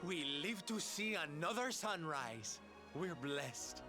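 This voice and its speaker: A man voicing a flamboyant, warm-hearted entertainer and adventurer in a video game, in a camp, gushing, dramatic manner. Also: on the high side, expressive, slow pace, faint music behind, exaggerated voice.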